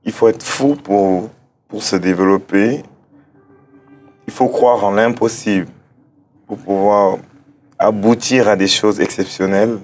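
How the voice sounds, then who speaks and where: Very deep, flat-voiced man, hesitant. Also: a little slow, long pauses, faint music behind. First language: German-speaking background. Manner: hesitant, repeats words, thinks aloud. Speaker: interview guest, start-up founder. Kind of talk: unscripted interview answers.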